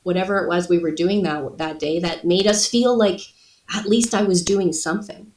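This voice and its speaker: A middle-aged woman chatting as a podcast guest, telling personal anecdotes in an expressive, conversational way. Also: on the low side, normal speed. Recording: roomy echo, distant from the mic.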